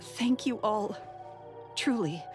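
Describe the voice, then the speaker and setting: A woman's voice: medium pitch, expressive, takes it slowly. A woman playing an anxious, grateful, grieving woman in a video game. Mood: emotional, grateful. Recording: faint game music behind.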